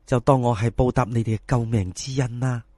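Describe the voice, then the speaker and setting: A man's voice: medium pitch, fairly even intonation, darkish tone, dry recording, pitched below the performer's own voice, close to an everyday voice. A man voicing a humble, resolute young woman in Cantonese, speaking politely and sincerely in a dramatised folk story. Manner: polite, measured, pleading sincerity.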